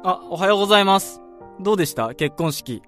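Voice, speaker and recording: An actor in a Japanese radio drama playing a cheeky, chatty young junior colleague in a breezy, teasing way. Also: male voice, high-pitched, lively intonation, fast, a bit bright, soft background music. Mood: curious, teasing. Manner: breezy, teasing, polite-casual. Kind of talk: casual radio drama dialogue.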